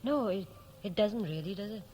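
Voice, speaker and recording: An actress with a Welsh accent playing a guarded, stoical married waitress in a 1960s radio drama. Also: female voice, mid-range voice, lively intonation, normal speed, mellow, close to an everyday voice. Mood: cool, defensive. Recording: old radio recording, light background noise.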